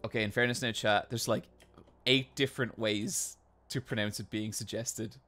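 A man's voice: lowish voice, fairly even intonation, moderate pace, a bit bright, soft background music. Chatty Irish-accented man streaming a game. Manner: chatty, reads and answers chat, swears casually. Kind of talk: casual live-stream commentary while playing. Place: home bedroom. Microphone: desk mic with webcam.

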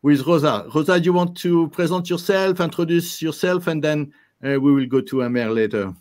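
A man's voice: a little high, normal speed, a little mellow. Older man hosting a video call. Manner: hesitant, many fillers and restarts. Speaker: host. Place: office with posters behind.

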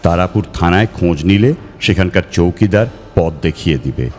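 A man's voice: deep voice, normal speed, natural voice. A man voicing a tall-tale teller's letter in a story reading, declamatory and persuasive. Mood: inviting. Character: tall-tale-telling man writing a challenging, inviting letter. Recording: long reverb over music.

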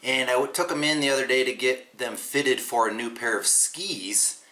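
A man's voice: medium pitch, moderate pace, pushed and bright. A man in his forties chatting casually in a how-to vlog, upbeat and full of ums, recorded by a camera across a desk in a small room. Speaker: vlogger.

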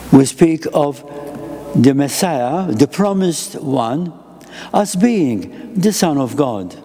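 An older man, a priest, giving a homily at a lectern microphone in a chapel, speaking slowly in short, measured phrases. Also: on the high side, warm tone, long reverb tail. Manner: slow, deliberate phrasing in short measured pieces. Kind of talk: sermon. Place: chapel with an icon and a lectern, indoors.

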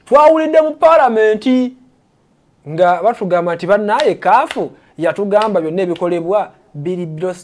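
A man's voice: sits high, animated, normal speed, slight background noise. A man co-hosting a sports talk show, speaking animatedly and mixing in English terms. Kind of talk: casual studio discussion.

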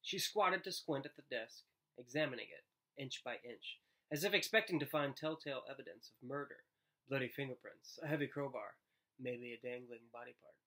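A man in his thirties narrating a children's book aloud in an expressive read-aloud style. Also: medium pitch, moderate pace, rough recording. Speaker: storybook reader. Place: living room, indoors.

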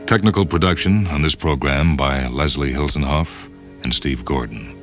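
An American man reading scripted dramatic narration for a radio story program in a measured, solemn way. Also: a bass voice. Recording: music under the voice. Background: music.

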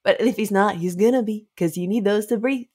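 A woman in her thirties co-hosting a book club, speaking in a casual, expressive way peppered with "like". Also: medium pitch, a little fast. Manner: expressive, frequent "like", acts out reactions. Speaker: book club podcast co-host. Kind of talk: casual chatty book discussion. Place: indoors, home recording setup. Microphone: close podcast mic.

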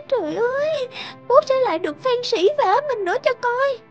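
A woman playing a sensitive, aggrieved sixteen-year-old schoolgirl in an animated drama, with a plaintive, youthful, emotional delivery. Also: extremely high pitch, moderate pace, muffled recording, faint music behind. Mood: anxious.

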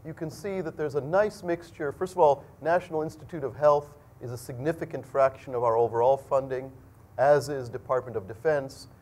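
A man's voice: medium pitch, brisk, darkish tone. Middle-aged man presenting to students. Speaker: engineering school dean. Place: indoor lecture room at a podium.

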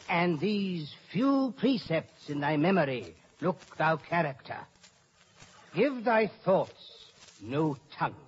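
A man's voice: high-pitched, heavily acted. An actor playing a pompous, fussy old father and counsellor, lecturing emphatically in radio Shakespeare style. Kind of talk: 1950s radio Shakespeare acting. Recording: muffled, noisy old radio broadcast.

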